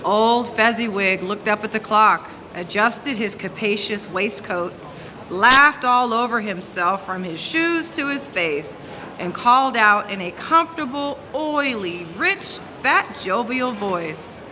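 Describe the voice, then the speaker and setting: A woman reads scripted story narration at a medium pitch. Her tone is bright and forceful. Long hall reverb and faint noise surround her. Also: expressive, slow. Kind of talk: scripted dramatic reading narration.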